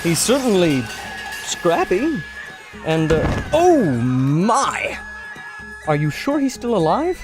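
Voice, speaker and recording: An anxious, animated adventure sidekick. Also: male voice, sits high, slow, long pauses, music in the background, heavily acted. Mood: concerned. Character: polite, anxious, doubtful male sidekick. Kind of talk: pulp radio adventure acting.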